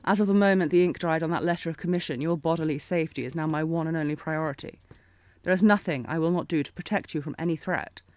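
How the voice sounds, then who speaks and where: A sardonic knight bodyguard played by a woman from a fantasy audio roleplay. Her female voice sits a little low, in a dry, echo-free recording. She is taunting and commanding, fiercely protective and intense. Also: darkish tone, close to an everyday voice. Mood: stern, menacing. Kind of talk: scripted fantasy audio roleplay, acted one-sided dialogue.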